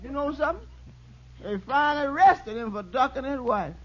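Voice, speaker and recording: Very high, swooping wisecracking crony. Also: male voice, animated, fairly quick. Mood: sly joking. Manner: folksy, ungrammatical, joke-telling delivery. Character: wisecracking male crony, shifty, jovial teller of tall tales. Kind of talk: 1950s radio sitcom acting. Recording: muffled vintage radio broadcast.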